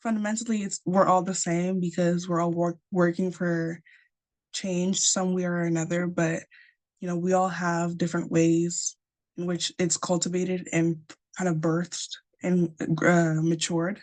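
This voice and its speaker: A teenage girl from Nigeria, a high school senior, telling her story casually on an online panel over a video call. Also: flat delivery, measured pace, a little mellow. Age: teens. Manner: casual, chatty, with fillers.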